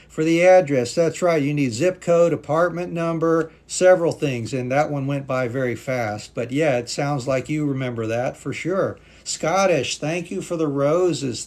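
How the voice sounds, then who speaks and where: A man's voice: on the high side, darkish tone. A native English-speaking man teaching English on a live stream, patiently reading viewer comments aloud and thanking them. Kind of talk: live-stream language class chat.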